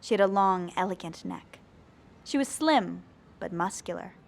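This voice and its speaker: A woman's voice: fairly low pitch, animated, moderate pace, gravelly. A woman playing a young female zombie who narrates her own story in an earnest, plain audio drama style. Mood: reflective. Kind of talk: first-person scripted narration.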